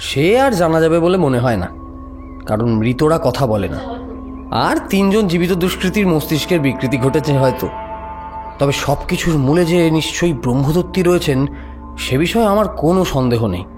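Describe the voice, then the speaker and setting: A man performs a suspicious investigator in a horror radio play. The voice is a little high and deliberately speculative, with a wry turn, set over faint music. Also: animated, natural voice. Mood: suspicious, certain. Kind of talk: audio horror story acting.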